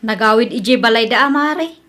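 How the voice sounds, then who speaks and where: A woman's voice: a little high, moderate pace, fairly bright tone, close to an everyday voice. A woman playing an aggrieved young mother fed up with her useless husband in a comedy radio drama, with an Ilocano accent. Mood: bitter, complaining. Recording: music behind the voice.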